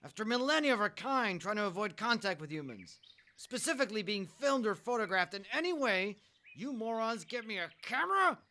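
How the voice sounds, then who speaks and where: Grumpy Bigfoot dad, male actor, highish and piercing, gruff ranter, comedic radio play. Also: very animated, brisk, pushed and bright, full character voice. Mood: outraged. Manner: gruff grumbling that erupts into indignant ranting. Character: irate, foul-mouthed Bigfoot father who despises humans.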